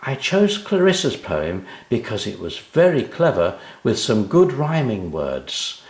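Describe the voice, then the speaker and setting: A man voicing a kindly, encouraging female schoolteacher in a children's story, measured and reassuring. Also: lowish voice, wildly swooping pitch, echoey room, full character voice.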